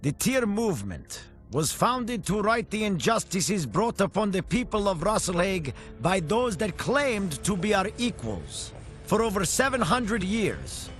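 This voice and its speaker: An actor playing a proud, defiant independence leader giving a solemn, declamatory political speech in a game. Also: male voice, high voice, fairly bright tone, exaggerated voice.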